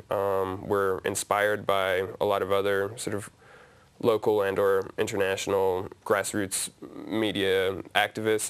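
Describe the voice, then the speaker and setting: Low, smooth-voiced man, hesitant. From grew up in Asia, Nepal. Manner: hesitant, many fillers and restarts. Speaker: media collective member. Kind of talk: casual interview conversation.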